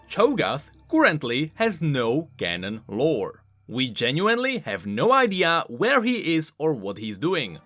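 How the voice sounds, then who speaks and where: Animated, bright-voiced man explaining game lore. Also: on the high side, dry recording. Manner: emphatic, informal, swooping stresses. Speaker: video game lore commentator, host. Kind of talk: scripted explainer commentary.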